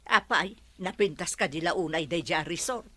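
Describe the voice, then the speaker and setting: A woman's voice: fairly low pitch, moderate pace, fairly bright tone, no echo. A woman with an Ilocano accent playing a chatty, inquisitive household companion in a radio drama. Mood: curious.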